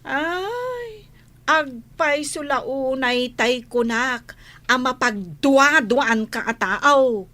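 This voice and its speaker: A woman's voice: sits high, lively intonation, very slow, sharp tone, heavily acted. A woman with an Ilocano accent playing a protective, fussy and sassy older-sister housemaid in a radio comedy drama. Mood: sassy, scornful. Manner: exclaiming, fussy, teasing.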